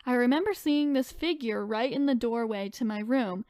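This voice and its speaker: A woman's voice: on the high side, moderate pace, a little mellow, dry recording, clean studio sound. A woman co-hosting a podcast, reading online stories aloud and chatting in an informal, conversational way. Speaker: podcast co-host.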